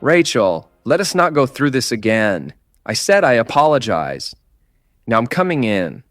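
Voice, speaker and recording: A man's voice: mid-range voice, big pitch swings, measured pace, a bit bright, faint music behind. A man playing an anxious, loving but impatient husband in an audio drama.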